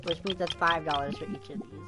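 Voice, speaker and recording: A woman's voice: low voice, fairly even intonation, fairly quick, loud music behind the voice. A woman giving casual gameplay commentary as a game streamer, rambling and thinking aloud in informal, slangy speech.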